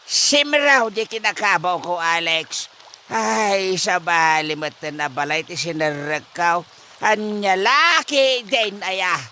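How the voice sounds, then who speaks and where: A drunken old husband in a radio comedy, muddled and stubborn. His voice is high and forceful, dragging slowly through slurred, theatrical exclamations. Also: a little hiss or hum, exaggerated voice. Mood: sheepish, evasive. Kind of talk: comedy audio drama acting.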